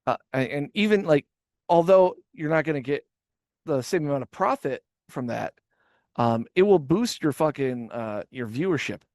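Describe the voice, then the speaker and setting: A man's voice: fairly high pitch, expressive, normal speed, a little mellow, dry recording, studio quality. A man hosting a casual reaction podcast, rambling with stammered restarts and swearing. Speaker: podcast host.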